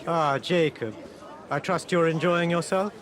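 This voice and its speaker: An actor voicing a proud, dismissive prince in a video game cutscene. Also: male voice, a little high, a little slow, a crowd in the background, natural voice. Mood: brusque, unworried. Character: proud, dismissive Indian prince hosting a party.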